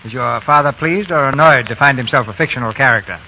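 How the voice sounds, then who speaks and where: A man's voice: medium pitch, fast, bright, forceful tone, slight background noise, poor audio. A man hosting and narrating a 1930s radio drama broadcast in a formal, polished, declamatory scripted style.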